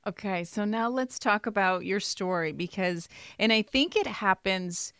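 A woman hosting a podcast interview, chatty and quick with short encouraging replies. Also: medium pitch, a bit bright, smooth, dry recording, clean studio sound. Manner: chatty, reacts with short affirmations. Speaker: podcast host. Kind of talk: casual interview.

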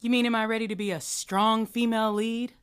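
A woman's voice: on the high side, level tone, fairly quick, clean tone, lightly acted. An actress playing a wry late-night radio host, presenting to her listeners. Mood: dry.

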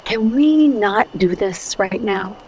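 A woman's voice: medium pitch, animated, takes it slowly, a little mellow. An actress playing a blunt, world-weary female adventurer in a radio detective drama. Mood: exasperated. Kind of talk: old-time radio drama acting.

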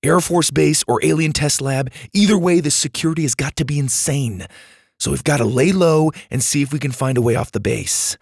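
A man's voice: a little high, brisk, creaky, darkish tone, breathy edge, no echo, studio quality, pitched above the performer's own voice. A man voicing a cautious, practical boy in a children's story, firm and urgent.

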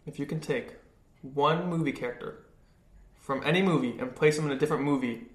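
A young man, a podcast co-host who is feeling sick and tired, telling animated stories in casual chat. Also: on the high side. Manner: fast, animated storyteller, acting out quotes. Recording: roomy echo.

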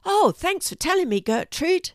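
A woman's voice: very high-pitched, very animated, moderate pace, dry recording, clean studio sound, pitched above the performer's own voice, exaggerated voice. A woman voicing a big, playful, friendly male ginger cat in a children's story, bouncy and eager. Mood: excited. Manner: bouncy, eager, good-natured.

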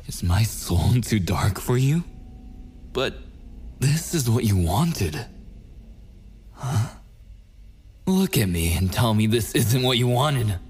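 An actor playing a possessive, obsessive and menacing young boyfriend in an intimate ASMR audio roleplay, slipping into breathy whispers. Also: male voice, mid-range voice, big pitch swings, slow pace, pauses often, a little mellow, exaggerated voice. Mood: wounded devotion.

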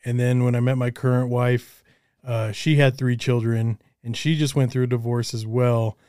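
A man's voice: medium pitch, flat delivery, moderate pace, dark tone, smooth, dry recording, clean studio sound. A man hosting a casual interview, affirming his guest with short encouraging replies. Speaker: interview host.

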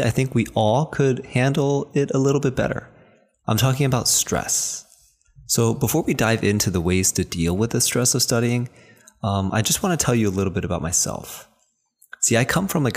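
A man in his thirties from New hosting a podcast, speaking with earnest encouragement straight to the listener. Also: fairly low pitch. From New Jersey, raised in New York. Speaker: podcast host and acupuncturist. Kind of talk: motivational podcast talk.

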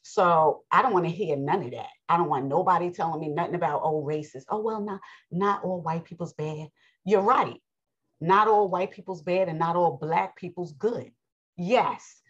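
A woman teaching a scripture lesson in an emphatic, preachy way, her voice raspy and strained, often clearing her throat. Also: fairly low pitch, normal speed, muffled recording. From United States. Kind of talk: bible lesson with commentary.

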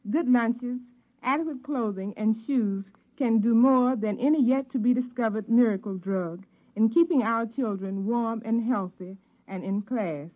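Female teacher from the US, scripted radio appeal. Higher-pitched, formal, earnest, very narrow phone-like sound. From United States. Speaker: teacher giving a public appeal. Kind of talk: scripted public service message. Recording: thin, phone-like old radio recording.

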